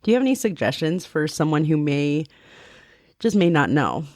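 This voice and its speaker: Low, lively female co-host, dry sound. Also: normal speed, no room sound. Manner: inquisitive, searching for words. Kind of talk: interview questions.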